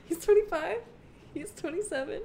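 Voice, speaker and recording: A woman's voice: very high voice, moderate pace, crowd noise. A nineteen-year-old woman chatting casually in a playful, giggly, teasing way, as co-host bantering with her husband. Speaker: co-host introducing herself.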